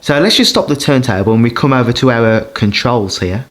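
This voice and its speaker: Man giving a halting, casual demo. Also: medium pitch. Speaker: record collector demonstrating equipment. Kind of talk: casual hands-on demonstration. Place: indoors beside a turntable.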